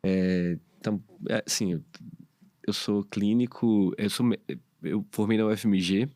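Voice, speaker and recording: A man's voice: fairly low pitch, steady tone, measured pace, mellow, dry recording, clean studio sound. A Brazilian man, a podcast co-host and internist, telling his story in casual chat with many fillers and restarts.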